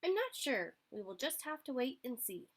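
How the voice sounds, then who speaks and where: A woman's voice: high voice, big pitch swings, moderate pace, voice pushed up. A woman voicing a small, earnest male seed in a children's storybook, polite, curious and a little unsure. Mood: gently hopeful.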